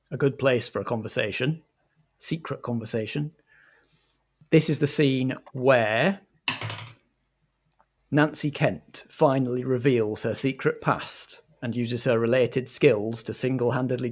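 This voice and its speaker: A man narrates an improvised audio drama as game master. His pitch is middling, his delivery slow and his tone husky.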